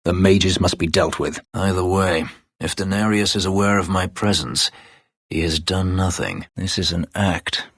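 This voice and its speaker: A man playing a bitter, brooding escaped slave warrior in a fantasy game, with a gravelly voice and terse, formal, measured delivery. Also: a bit bright, dry recording, clean studio sound. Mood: guarded, grim. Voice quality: low, gravelly, husky. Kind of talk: dramatic fantasy game voice acting.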